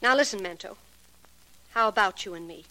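An actress in a 1940s radio drama playing a resentful, insistent young magician's assistant, pointed and sarcastic. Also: female voice, mid-range voice, brisk, bright, forceful tone, close to an everyday voice, low-quality recording.

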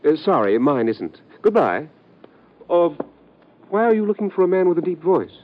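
A fussy, dapper lawyer. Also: male voice, a little high, sing-song. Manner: fussy, hurried. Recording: muffled old radio recording.

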